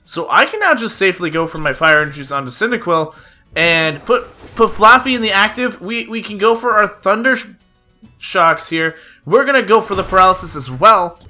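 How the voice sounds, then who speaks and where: Bright high-voiced man, slightly nasal. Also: lively intonation, normal speed. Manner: chatty play-by-play with frequent "uh" fillers. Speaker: gaming YouTuber. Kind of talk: casual card game commentary. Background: background music.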